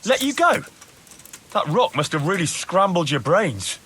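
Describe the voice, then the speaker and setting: A blunt, animated young man-at-arms. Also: on the high side, moderate pace, slight background noise. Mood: scornful, angry. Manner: blunt, sarcastic, pressing. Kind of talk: video game cutscene acting.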